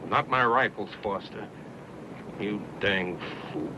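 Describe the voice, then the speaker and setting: Low-voiced, stubborn frontiersman. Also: slow. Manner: blunt, challenging, folksy western speech. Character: hard, stubborn, defiant frontiersman guarding his rifles. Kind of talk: old western radio acting. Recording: old radio recording with clear background noise.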